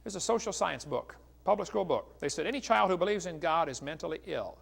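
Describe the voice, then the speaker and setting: A man's voice: on the high side, lively intonation, fairly quick, creaky, breathy edge. A middle-aged American man giving a religious lecture as an evangelist, in a folksy, polemical way, reading out quotations. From United States.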